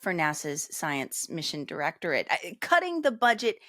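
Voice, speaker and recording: A woman's voice: sits low, very animated, normal speed, a bit bright, dry recording, clean studio sound. A young woman hosting an interview, asking direct, probing questions. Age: young adult. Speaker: host. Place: studio set, indoors. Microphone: studio microphone on arm.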